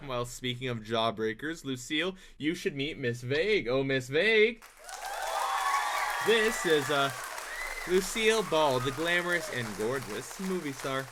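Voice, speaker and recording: A man's voice: mid-range voice, animated, a little slow, crowd noise, lightly acted. A man playing a wisecracking 1940s radio comedian and master of ceremonies, with quippy, stagey patter. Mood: smooth, placating. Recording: noisy live stage, audience sounds.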